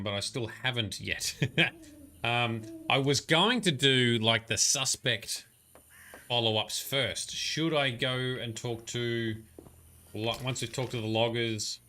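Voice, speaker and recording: A man's voice: mid-range voice, bright, forceful tone. A man streaming a video game, chatting loosely with viewers, often correcting himself and chuckling. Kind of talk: live gameplay commentary.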